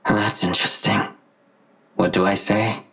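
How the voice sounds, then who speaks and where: A man playing a smug, gloating imprisoned villain in an audio drama, slow and mocking, with a gravelly, husky voice. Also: fairly low pitch, flat delivery, fairly bright tone, faint music behind, exaggerated voice. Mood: gloating, sly.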